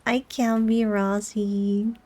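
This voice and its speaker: A woman's voice: mid-range voice, flat delivery, slow, darkish tone. A woman streaming a video game, chatting casually with her viewers as she plays. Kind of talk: casual chat with viewers during gameplay.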